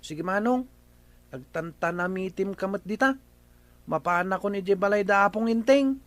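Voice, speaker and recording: Actor as young man, quite high-pitched, cheeky, defiant, Ilocano accent, comedy drama acting. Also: normal speed, no room sound, close to an everyday voice.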